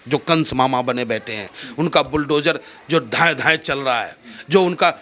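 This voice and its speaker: A man's voice: medium pitch, moderate pace, a bit bright. A man from India with a North Indian accent, speaking in an impassioned, oratorical way as a political interviewee, emphatic and repeating phrases for effect.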